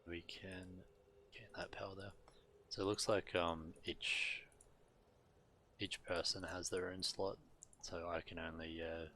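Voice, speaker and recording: A man streaming a video game, reading on-screen text and commenting casually in a measured, subdued way. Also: low voice, level tone, pushed and bright, slight background noise.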